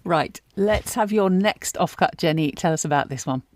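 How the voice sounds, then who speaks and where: A female podcast interviewer with a slightly low voice. She puts her questions conversationally, as the host of the show. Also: moderate pace, a little mellow.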